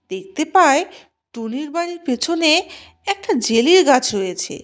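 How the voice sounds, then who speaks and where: A woman narrating a scripted children's cartoon story. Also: high voice.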